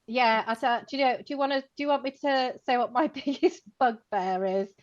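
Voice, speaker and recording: A woman co-hosting an informal chat, talking in a chatty, animated way with lots of "like" and "you know" and laughing as she speaks. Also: on the high side, fairly quick, highs cut off. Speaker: co-host, co-owner of a computing education company.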